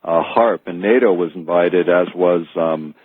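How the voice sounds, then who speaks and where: A North American man speaks as a radio guest in a low, husky voice. He sets out his account earnestly and in careful detail, halting as he goes. The sound is thin and echoey, like an old phone call on air. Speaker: guest author and researcher. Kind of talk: radio interview, explanatory account. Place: remote call from Alaska into radio show.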